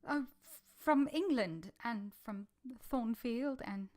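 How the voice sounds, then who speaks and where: A woman's voice: fairly high pitch, lively intonation, slow, darkish tone. A woman playing an earnest, humble but passionate young governess in formal literary language, in a vintage-style radio drama. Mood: subdued, deferential.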